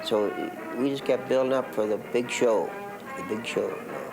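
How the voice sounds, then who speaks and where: An older man, a former band member, reminiscing in a rambling, halting way in casual talk. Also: medium pitch, gravelly, music in the background, poor audio.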